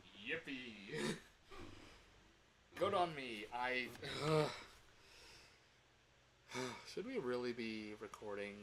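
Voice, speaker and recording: A man with a mid-pitched voice chats casually as a livestream host. He rambles and exclaims, circling back over his own words. The home room recording carries clear background noise. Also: rough recording. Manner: animated, exclaiming, self-interrupting and repeating words. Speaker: livestream host, game developer.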